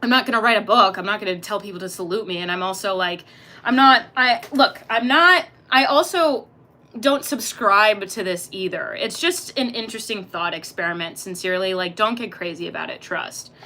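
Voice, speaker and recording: Bright, slangy young woman streamer. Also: medium pitch, moderate pace. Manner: rambling, slangy, animated. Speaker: livestreamer reading chat. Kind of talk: casual live-stream chat.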